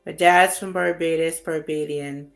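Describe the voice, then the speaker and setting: Low-voiced woman panelist. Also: steady tone, measured pace, vocal fry, soft background music. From born in England, raised in Canada. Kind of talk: casual panel conversation.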